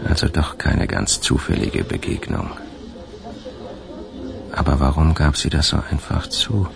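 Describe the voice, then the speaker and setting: A man's voice: very deep voice, animated, measured pace, gravelly, heavily acted. A man playing a wary, brooding first-person narrator in a German radio crime drama, voicing a suspicious inner monologue. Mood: wary, reflective. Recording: music playing behind the voice.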